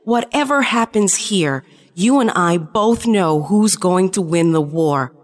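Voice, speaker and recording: A woman's voice: on the low side, expressive, takes it slowly. A woman playing a shapeshifting alien spy in an audio drama, a conflicted lover pleading her case earnestly. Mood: urgent pleading. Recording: long reverberant hall sound.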